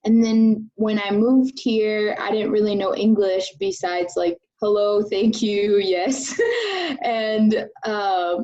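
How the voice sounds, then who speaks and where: A young woman in her twenties from Venezuela, talking very slowly as a guest on an interview show. She fills her pauses with ums and takes her time over each answer. The sound is a little dull. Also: mid-range voice, a little mellow. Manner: unhurried, with many ums. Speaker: guest, engineering graduate student.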